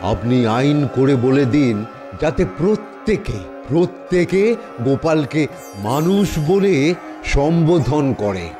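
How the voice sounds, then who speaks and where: A man voicing a pedantic, deferential royal physician in an animated comedy, halting and solemnly theatrical. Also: a little high. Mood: solemn explaining. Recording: background music.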